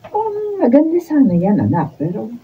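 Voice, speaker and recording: A woman playing a kindly older mother in a Filipino radio drama, speaking with gentle motherly counsel and a Filipino accent. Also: on the high side, wildly swooping pitch, normal speed. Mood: approving. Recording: muffled, distant, faint noise, phone-like.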